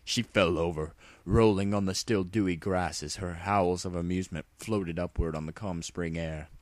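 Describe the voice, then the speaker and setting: A man's voice: deep voice, fairly even intonation, moderate pace, no room sound. A man narrating a story as a tongue-in-cheek dramatic reading.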